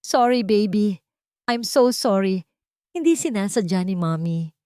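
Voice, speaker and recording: A woman's voice: mid-range voice, animated, a little slow, warm tone, clean tone, dry recording, studio quality, pitched above the performer's own voice, natural voice. A woman voicing a young mother who speaks tenderly to her small child. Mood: apologetic. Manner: tender, fond. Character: young loving mother, woodworker.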